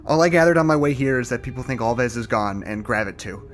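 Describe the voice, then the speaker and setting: A man's voice: mid-range voice, expressive, fast, soft background music, lightly acted. An actor playing a nervous, wary man in an audio drama, with a hesitant but urgent manner. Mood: worried. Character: nervous, wary male colleague in a troubled facility. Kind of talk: naturalistic audio drama dialogue.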